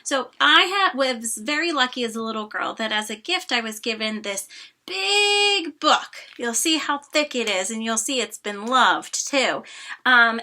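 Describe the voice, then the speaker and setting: A woman reading a storybook aloud to children, expressive, with dramatic emphasis and softer asides. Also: on the high side. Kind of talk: animated storybook narration.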